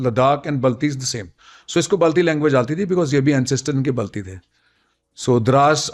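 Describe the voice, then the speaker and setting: A middle-aged man with an Indian accent and a mid-range voice recounts his army days. He talks as a veteran officer in conversation, mixing two languages within his sentences. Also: medium pitch. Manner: code-switches between Hindi and English. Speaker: retired army colonel, interview guest.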